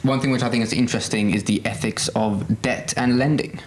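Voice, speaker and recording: A man speaks as a podcast co-host in casual discussion. His voice sits at an ordinary middle pitch and his delivery is conversational. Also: steady tone, fairly quick, noisy background.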